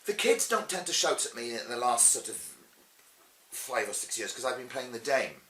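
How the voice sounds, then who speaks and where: A man's voice: medium pitch, big pitch swings, normal speed, a bit bright. A middle-aged man telling anecdotes in an interview as a pantomime performer, chatty, theatrical and emphatic. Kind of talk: animated anecdotal interview talk. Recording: roomy echo with faint hiss.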